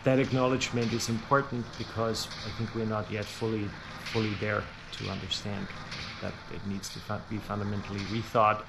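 Deliberate man over loud ambient noise. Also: fairly low pitch, flat delivery, creaky. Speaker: author and columnist, former forum manager. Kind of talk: reflective interview commentary.

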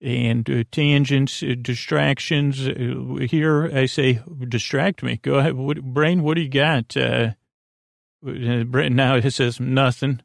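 A man hosting a bedtime podcast in a creaky, lulling voice, rambling hesitantly and drifting off into tangents. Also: mid-range voice, steady tone, takes it slowly, no room sound, clean studio sound. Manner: hesitant, digressive, full of tangents and trailing thoughts. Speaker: podcast host. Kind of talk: rambling, meandering bedtime monologue.

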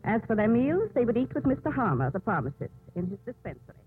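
A woman playing a calm, authoritative Mother Superior in a 1950s radio drama, with a husky voice. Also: fairly high pitch, fast talker. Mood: measured narration. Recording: muffled phone-like old radio broadcast.

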